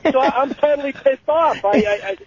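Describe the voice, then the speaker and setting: A man's voice: very high-pitched, fairly quick, over a noisy crowd. A man calling in to a radio show, talking casually in a blunt, complaining way. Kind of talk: casual call-in talk. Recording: noisy, dull call-in line.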